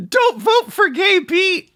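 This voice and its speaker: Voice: very high-pitched, very animated, slow pace, a bit bright, dry recording, studio quality. A comedic commentator reacting to videos with sarcastic, campy, playful delivery and mocking asides. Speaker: YouTube host and commentator.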